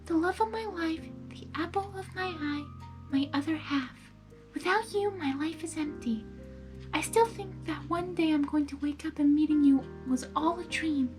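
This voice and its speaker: Woman voicing a soft, high, tender pony girl. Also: normal speed, over music, natural voice. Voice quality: soft, breathy, near whisper at times. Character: gentle, tender female pegasus pony reading a love message.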